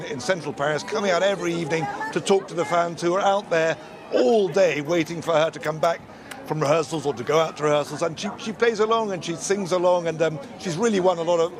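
A male correspondent giving a live news report outdoors in a city street, fluent and unhurried, sometimes searching for words. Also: a little high. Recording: loud crowd behind. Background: crowd of fans.

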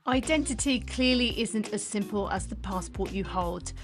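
A woman's voice: medium pitch, normal speed, soft background music. A woman reporter from London narrating a personal, reflective scripted report.

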